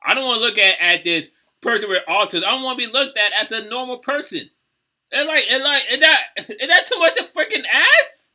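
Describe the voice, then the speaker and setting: A man's voice: extremely high pitch, expressive, a little fast, sharp tone. A man in his thirties talking casually as a reaction video host, rambling and often repeating "you know what I'm saying". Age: 30s.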